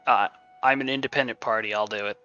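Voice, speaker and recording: Wry male streamer, bright voice. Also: fairly low pitch, steady tone, fast talker, lo-fi sound. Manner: wry, flippant. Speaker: game streamer. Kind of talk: joking live gameplay commentary. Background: faint game music.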